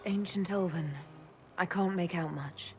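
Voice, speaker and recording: A woman voicing a practical female leader who speaks directly and briskly. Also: lowish voice, a little hiss or hum. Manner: direct, brisk plain speech. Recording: game cutscene with clear score.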